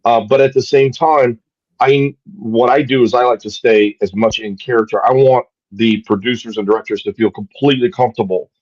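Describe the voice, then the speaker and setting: A man's voice: mid-range voice, fairly even intonation, fairly quick, darkish tone, dry recording, dull audio. A man who is an actor, from Texas, telling upbeat anecdotes as a guest in a casual interview.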